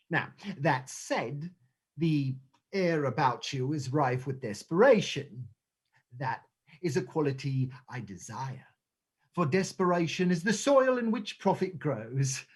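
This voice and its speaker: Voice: medium pitch, sing-song, measured pace, husky. An actor playing a sly, menacingly courteous male crime boss in a stage play reading, theatrical and mock-polite. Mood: sly, probing.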